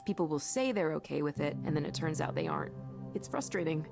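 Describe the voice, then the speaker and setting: Earnest female colleague, visual novel game, lowish creaky voice, kind, encouraging, natural delivery, soft music behind. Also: animated, fast. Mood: candid, reflective. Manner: earnest, conversational, gently encouraging.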